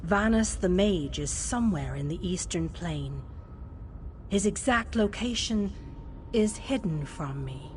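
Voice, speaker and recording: An actress playing a solemn female mystical advisor in a fantasy game, speaking in a measured, formal, portentous way. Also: fairly low pitch, expressive, a little hiss or hum. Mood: instructive, formal. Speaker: quest-giving advisor character. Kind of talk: fantasy game lore narration and dialogue.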